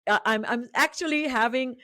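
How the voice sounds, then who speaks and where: A middle-aged woman from India with an Indian English accent, a native Marathi speaker, hosting an interview podcast in a measured way with many fillers and restarts. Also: a little high, bright, forceful tone, no echo, studio quality. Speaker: podcast host.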